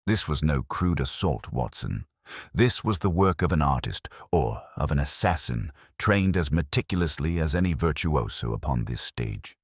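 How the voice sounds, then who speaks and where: A man's voice: very deep voice, animated, moderate pace, husky, dry recording, studio quality, heavily acted. A man voicing a keen, coolly confident detective with eloquent, pompous phrasing in a storybook reading. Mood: absorbed fascination.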